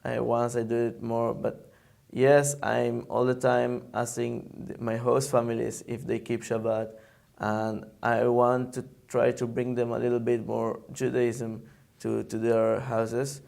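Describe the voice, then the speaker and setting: Young israeli guy, hebrew speaker, halting english, gravelly and monotone. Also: mid-range voice. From Argentina, raised in Israel. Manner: halting, searches for English words. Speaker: camp counselor guest. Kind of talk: interview answers.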